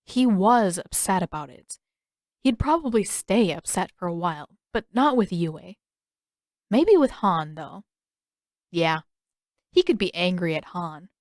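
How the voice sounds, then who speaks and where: A woman's voice: fairly low pitch, big pitch swings, measured pace, long pauses, a little mellow, dry recording, studio quality. A woman reading scripted fiction aloud as the narrator of an audio story. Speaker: podfic narrator.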